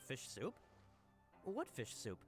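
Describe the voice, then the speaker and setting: A man's voice: mid-range voice, huge pitch swings, slow, faint music behind. An actor playing an eager, earnest young male subordinate in a video game, talking informally and with emphatic insistence. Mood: baffled, insistent.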